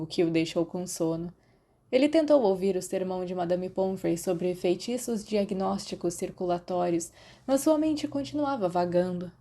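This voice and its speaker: Female audiobook narrator, Brazilian, very mellow tone on the lower side, for scripted fiction. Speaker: podfic narrator.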